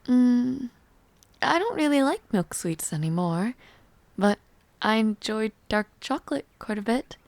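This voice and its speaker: A woman playing a refined, teasing young palace noblewoman in an intimate audio roleplay, speaking in a poised, formal, softly playful way. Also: a little high, animated, measured pace, creaky, no echo. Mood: relaxed, musing. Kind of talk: intimate audio roleplay acting, chatting to the listener.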